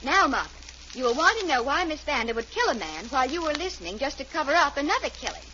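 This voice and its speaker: An admiring, obliging secretary in a vintage radio mystery. Her voice is very high and eager, heard through the dull, hissy sound of an old broadcast. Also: big pitch swings, fast, pushed and bright, heavily acted. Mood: dutiful. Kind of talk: old-time radio drama acting.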